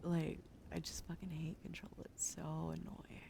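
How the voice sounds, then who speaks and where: A sleepy woman streaming ASMR with a sore throat, switching between soft whispers and casual chat about games into a close microphone. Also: low-pitched, flat delivery, a little slow, gravelly. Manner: soft whispering between chatty gaming talk. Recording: noisy stream audio.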